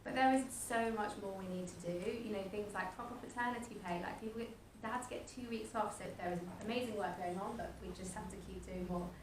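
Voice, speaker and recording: A woman's voice: medium pitch, fairly quick, hardly any pauses, noisy background. A woman giving a candid, conversational speech at an event. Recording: echoey hall from far off.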